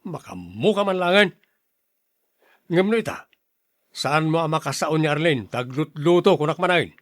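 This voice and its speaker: A man's voice: on the high side, expressive, moderate pace, dry recording, clean studio sound, natural voice. A man with an Ilocano accent acting a stern, blustery older brother who turns smitten suitor in a radio drama. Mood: scolding. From Philippines.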